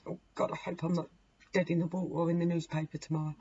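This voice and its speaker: A hoarse woman in her forties giving a casual tarot reading to camera, chatty and laughing at herself as she repeats and restarts. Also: sits low, flat delivery, fast talker, mellow. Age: 40s. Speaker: tarot reader. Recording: dull lo-fi recording with faint noise.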